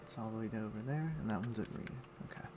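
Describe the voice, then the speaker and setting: A man's voice: fairly low pitch, very fast, noisy background. A man who streams games, chatting casually and thinking aloud in a rambling way as he plays. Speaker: game streamer. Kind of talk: casual livestream gameplay chat. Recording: loud game music behind voice. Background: video game music.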